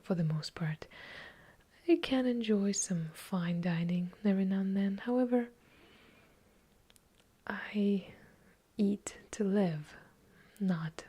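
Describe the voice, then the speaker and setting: Brief: woman, Eastern European accent, ASMR whisperer; low mellow whisper, unhurried delivery. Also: husky. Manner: soft unvoiced whispering, unhurried, chatty with small self-corrections. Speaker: ASMR creator answering viewer questions.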